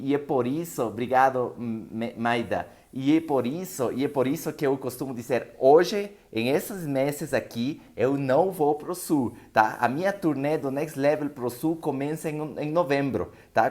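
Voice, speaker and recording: A man's voice: mid-range voice, a little mellow. A motivational speaker, a man whose first language is Spanish, talks to a live audience. His accent carries Spanish-speaking sounds. He tells his story emphatically and keeps checking that the listeners are following him. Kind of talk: live motivational seminar talk.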